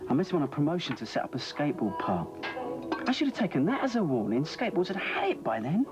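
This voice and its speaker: A man's voice: a little high, wildly swooping pitch, fast. An actor with a British accent playing a harried male radio DJ who grumbles sarcastically and feels sorry for himself. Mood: rueful. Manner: sarcastic, self-pitying grumbling. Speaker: actor in a TV drama. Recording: dialogue under loud music.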